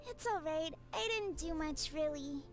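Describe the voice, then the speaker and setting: An actress voicing a shy, sickly little girl in a game, speaking softly and hesitantly. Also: sits high, a little slow, faint music behind. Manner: hesitant, soft-spoken. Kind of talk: game voice acting.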